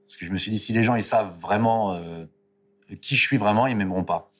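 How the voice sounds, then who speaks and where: Deep-voiced man speaking candidly. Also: brisk. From France. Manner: candid, hesitant, self-critical. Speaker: documentary subject. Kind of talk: reflective interview.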